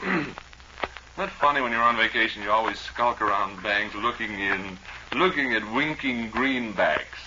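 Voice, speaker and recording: A man's voice: fairly low pitch, animated, measured pace. A man hosting a radio show with wry, rambling, playful comic banter. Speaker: radio host. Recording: dull old radio recording, faint noise.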